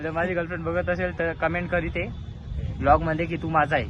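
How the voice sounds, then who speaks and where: A man's voice: flat delivery, fast talker, dull audio. A young man, bantering casually and teasingly with friends as he vlogs. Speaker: vlogger. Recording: noisy outdoor vlog with traffic rumble.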